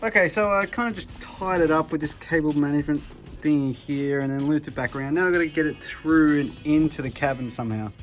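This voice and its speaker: A man's voice: fairly high pitch, brisk. Offhand Australian man, DIY vlog. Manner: chatty, offhand, self-deprecating. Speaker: electrician vlogger.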